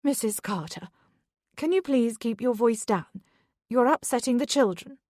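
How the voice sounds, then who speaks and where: A woman's voice: a little high, lively intonation, measured pace, a little mellow, no room sound, clean studio sound, voice pushed up. A woman voicing a flustered headmistress. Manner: nervous, hesitant. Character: flustered middle-aged female headmistress.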